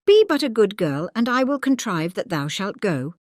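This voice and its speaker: A British woman voicing a brisk, kindly fairy godmother in a storybook audiobook, with archaic, coaxing commands. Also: mid-range voice, big pitch swings, no room sound, clean studio sound, voice pushed up, natural voice. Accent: British English.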